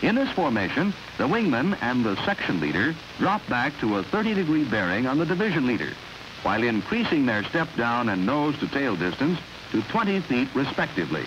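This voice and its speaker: A man with an American accent reads a scripted 1950s instructional film narration in a measured, clear, instructional manner. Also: medium pitch, big pitch swings. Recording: dull noisy 1950s film soundtrack.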